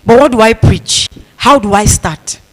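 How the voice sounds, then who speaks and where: A woman's voice: on the high side, a little slow. Woman preaching in an echoing hall. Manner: humorous storytelling, repeats phrases for emphasis. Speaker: pastor and conference speaker. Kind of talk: conference keynote talk. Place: conference hall. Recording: long reverb of a large hall.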